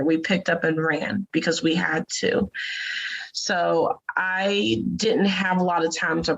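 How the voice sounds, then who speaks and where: A woman caregiver guest giving calm, measured advice with pauses. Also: lowish voice, low-quality recording. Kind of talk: podcast interview answer.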